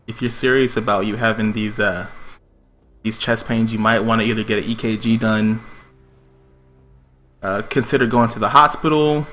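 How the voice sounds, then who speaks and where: A man's voice: on the low side, fairly bright tone, a little hiss or hum. A man telling a personal story on a stream in a casual, slangy, profane way, acting out the quotes.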